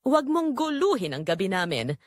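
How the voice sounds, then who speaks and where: A woman's voice: medium pitch, very animated, fairly quick, dry recording, close to an everyday voice. A woman plays a stern husband in an audio drama. He is cold and dismissive toward his wife, and the recording is dry. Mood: stern, annoyed. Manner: stern, emotionless.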